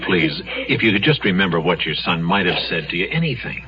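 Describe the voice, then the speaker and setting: An actor playing a gentle, deferential junior policeman in an old-time radio crime drama, speaking politely and softly. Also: low voice, sing-song, brisk, background noise. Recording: muffled old radio recording, music behind.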